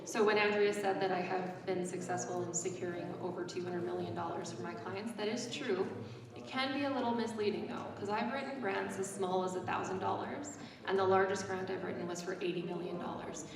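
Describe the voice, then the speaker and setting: A woman grant writing consultant with a naturally loud voice giving a casual, chatty conference presentation. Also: medium pitch, fairly even intonation, a little fast, a crowd in the background. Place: conference hall. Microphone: small handheld microphone. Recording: echoey hall from far off, audience noise.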